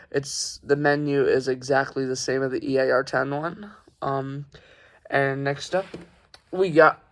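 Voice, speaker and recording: A man's voice: medium pitch, a bit bright, a little hiss or hum. Young man casually showing off his collection. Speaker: hobbyist reviewer. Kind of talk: casual product showcase. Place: carpeted room at home.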